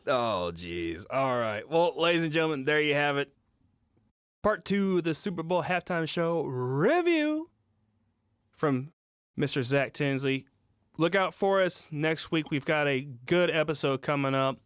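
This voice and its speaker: Smooth-voiced easygoing male podcast host. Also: fairly high pitch, expressive, measured pace, no echo, studio quality. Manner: easygoing, laughs often, signs off in a host tone. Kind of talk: casual podcast chat.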